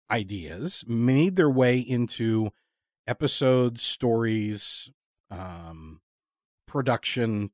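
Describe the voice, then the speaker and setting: A man hosting a casual long-form interview, talking in a thoughtful, halting, discursive way. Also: medium pitch, dry recording, studio quality. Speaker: podcast interviewer.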